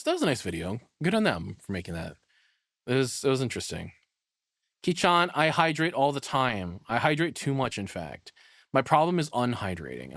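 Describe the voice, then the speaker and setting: Male streamer, lowish pitch, informal rambling opinions, close dry sound. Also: no echo. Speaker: reaction streamer. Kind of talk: casual spoken reaction commentary.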